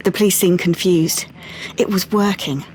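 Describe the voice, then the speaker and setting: A woman's voice: lowish voice, steady tone, normal speed, a little mellow, close to an everyday voice, poor audio. An actress playing a guarded, principled young woman in an audio play, speaking in short clipped phrases. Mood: urgent, intent. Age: young adult. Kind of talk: dramatic monologue and dialogue, audio play.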